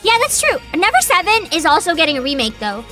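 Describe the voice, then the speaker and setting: A woman with a high, bright voice hosts a casual livestream. Her pitch moves around a lot as she chats and reads aloud with bubbly enthusiasm. Soft music plays behind her. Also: wildly swooping pitch, quick pace. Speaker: virtual streamer host. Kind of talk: casual livestream chat, partly reading aloud.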